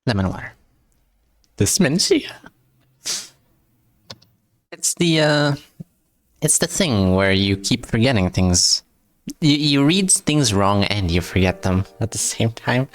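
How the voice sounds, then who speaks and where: A man's voice: mid-range voice, no echo. Stammering man chatting with stream, dry sound. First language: not English. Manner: stumbles and repeats words, answers chat with joking self-mockery. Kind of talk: casual live-stream chat.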